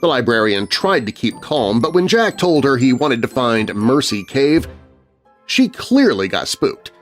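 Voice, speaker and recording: Male narrator, kids' horror podcast: dramatic scripted read, lively pitch movement, music bed underneath. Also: a little slow.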